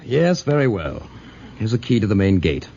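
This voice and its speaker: An actor playing a stern, menacing middle-aged big-game hunter in a 1940s radio drama, formal, imperious and brooding. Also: male voice, on the low side, sing-song, normal speed, dark tone. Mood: stern, curt. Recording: very noisy, muffled 1940s radio recording.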